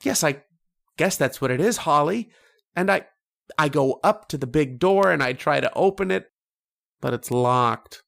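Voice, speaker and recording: A man playing a dreamy, insistent young boy in an old-time radio drama, speaking in a halting, earnest, childlike way. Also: a little high, lively intonation, creaky, a bit bright, exaggerated voice. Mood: earnest, anxious.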